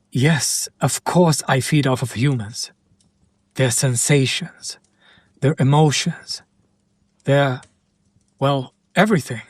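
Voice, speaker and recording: A man playing a sardonic, teasing demon in an intimate roleplay audio drama, speaking deliberately and directly to the listener. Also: mid-range voice, husky, dry recording. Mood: puzzled. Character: male demon buyer, sardonic, teasing, curious about his captive.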